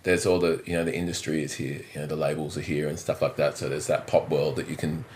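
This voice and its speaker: A very low-voiced Australian man in his thirties, chatting as a podcast guest. He rambles freely, restarts his sentences and pokes fun at himself. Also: little pitch movement, fast, a little mellow. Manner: rambling, self-deprecating, restarts a lot. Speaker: drummer and musical director, podcast guest. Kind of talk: casual interview chat. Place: indoors, on a couch.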